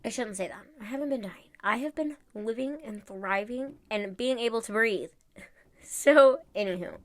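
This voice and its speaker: A woman's voice: a little high, normal speed, dry recording. Woman, congested, nasal, chatty, rambling, dry close recording. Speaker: nail tutorial vlogger. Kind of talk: casual voice-over tutorial.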